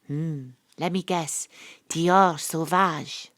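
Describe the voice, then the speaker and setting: Brash Welsh investigator, dry wit, blunt, slightly low pitch, storytelling in audio drama. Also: female voice, steady tone, drawn-out words, a bit bright, full character voice. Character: wry, brash, independent-minded female investigator narrating her case. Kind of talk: audio drama first-person narration.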